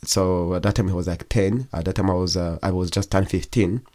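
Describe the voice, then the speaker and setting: A young man from Congo, whose first language is Kigogwe, telling his own story as an interview guest in halting speech full of pauses and restarts. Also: low voice, steady tone, mellow. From Democratic Republic of Congo. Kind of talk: personal testimony in an interview.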